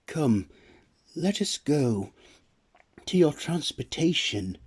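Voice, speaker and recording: A man voicing the Tooth Fairy, a gentle female fairy who collects teeth, speaking softly and kindly. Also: on the high side, very animated, takes it slowly, breathy edge, pitched above the performer's own voice, full character voice.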